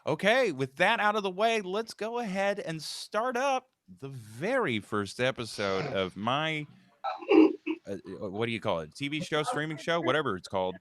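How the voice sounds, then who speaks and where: A man's voice: on the high side, very animated, moderate pace, fairly bright tone, dry recording. A man hosting an informal live script read, talking casually and narrating stage directions. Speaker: host, writer and stage-direction reader of a live table read.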